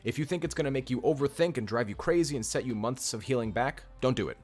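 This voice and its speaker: A man with a deep voice hosting a live stream, reading comments and giving blunt, direct relationship advice. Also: fast, a little mellow, faint music behind. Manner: blunt, direct advice, repeats key points.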